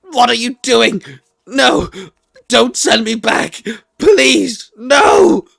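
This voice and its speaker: A man's voice: extremely high pitch, very slow, vocal fry, a bit bright, exaggerated voice. A man voicing a vain, villainous computer virus who rants and pleads melodramatically as it is deleted. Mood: panicked, pleading.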